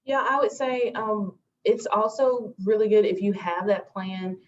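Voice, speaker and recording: A woman's voice: medium pitch, steady tone, measured pace. A woman manager on a panel, explaining steadily with some fillers over a video call. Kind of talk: casual panel advice. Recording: dull video call audio with faint noise.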